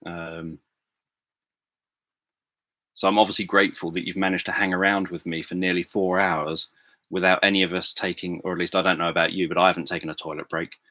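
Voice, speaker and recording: Deep-voiced man, soft and halting. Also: level tone, no room sound. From England. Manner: hesitant, many fillers and restarts. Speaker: livestream host. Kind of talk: casual livestream chat answering viewers. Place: home room.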